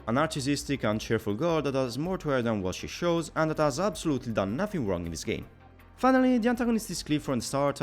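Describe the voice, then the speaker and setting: A man's voice: mid-range voice, a little mellow. A man narrating a scripted video game review in a wordy, opinionated, somewhat formal way. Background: background music.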